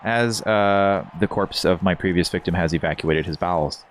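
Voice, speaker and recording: A man giving deadpan, mock-dignified commentary on his own video game stream in a hushed golf broadcast style. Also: deep voice, moderate pace, slight background noise.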